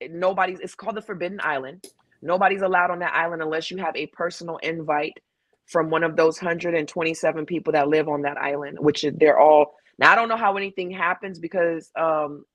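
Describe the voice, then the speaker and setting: A woman's voice: sits low, fairly quick, dry recording. Woman panel guest, casual, emphatic and profane, dry close sound. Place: home in Hawaii.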